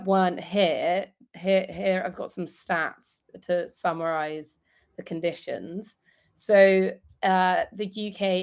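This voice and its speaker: A woman presenting and interviewing on an informal live news show, with a halting, hesitant delivery. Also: lowish voice, muffled recording.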